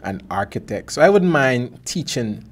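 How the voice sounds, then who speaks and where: A man's voice: medium pitch, very animated, normal speed, slight background noise. A young man from Guyana with a mixed Caribbean accent, hosting a podcast interview in a small studio on a desk mic, joking and laughing easily. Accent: Caribbean English, mixed Guyanese and Bahamian. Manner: joking, laughs often, easygoing. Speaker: podcast host. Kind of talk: casual interview chat. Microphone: studio mic on stand.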